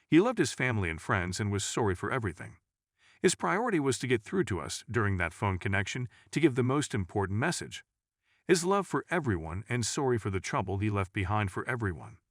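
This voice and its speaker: A man's voice: on the low side, sing-song, brisk, no echo, clean studio sound. A man reading a scripted first-person paranormal story as a narrator.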